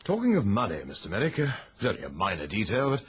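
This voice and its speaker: An actor playing a touchy, self-important garage owner in a radio drama, curt and dry. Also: male voice, on the low side, wildly swooping pitch, fast talker, husky, heavily acted. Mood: indignant. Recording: noisy old radio recording.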